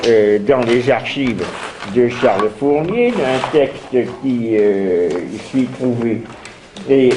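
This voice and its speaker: An older man with a heavy head cold hosts a university seminar in a slow, hesitant way, full of pauses and restarts. His voice sits at an ordinary pitch, and faint background noise hangs in the room. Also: a little mellow. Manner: halting, many fillers and restarts. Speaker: seminar host. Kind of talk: academic seminar introduction.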